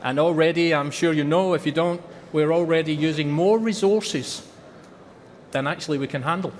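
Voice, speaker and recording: A man's voice: fairly high pitch, slight background noise. Scottish man speaking in a big hall. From Scotland. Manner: earnest, repeats for emphasis. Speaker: company presenter. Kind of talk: trade fair presentation. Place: large exhibition hall.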